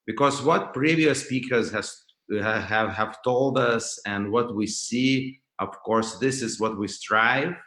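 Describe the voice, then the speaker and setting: A man from Lithuania with a Lithuanian accent, lecturing as a professor at an online conference in a halting way, repeating words and restarting phrases. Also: mid-range voice, fairly bright tone. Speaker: dental professor and clinician giving a lecture. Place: indoors, remote video call. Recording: roomy, echoing video call sound.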